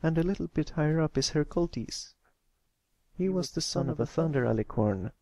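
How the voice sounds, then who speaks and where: A man voicing a shy, earnest young male cartoon pony who speaks gently and hesitantly in a storybook reading. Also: a little high, lively intonation, moderate pace, dry recording, voice pushed up. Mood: helpful, explaining.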